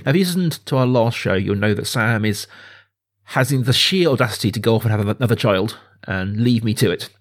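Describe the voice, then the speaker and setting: A man speaking as a podcast host, chatting informally and with gentle jokiness about films. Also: fairly low pitch, fairly quick. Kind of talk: casual film podcast chat.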